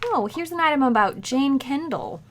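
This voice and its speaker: A woman's voice: sits high, expressive, normal speed, a little hiss or hum. A woman playing a playful, nagging housewife in a 1940s-style radio comedy, with teasing, pointed delivery. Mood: exasperated.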